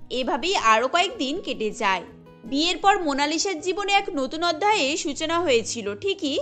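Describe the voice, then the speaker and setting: Casting: female cartoon storyteller, high voice, scripted narration over a light music bed. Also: fairly bright tone. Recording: music behind the voice.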